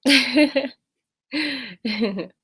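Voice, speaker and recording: A woman's voice: sits high, drawn-out words, fairly bright tone, husky. An American woman hosting a casual live fitness Q&A, chatting and laughing as she greets viewers by name. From United States. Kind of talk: casual live-stream chat.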